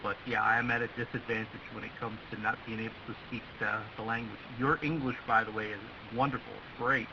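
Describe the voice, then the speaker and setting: A man's voice: lowish voice, fairly even intonation, fast, hardly any pauses. A man chatting casually and politely in a rambling way as an amateur radio operator over a radio link. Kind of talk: casual ham radio contact. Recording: muffled radio link with clear hiss.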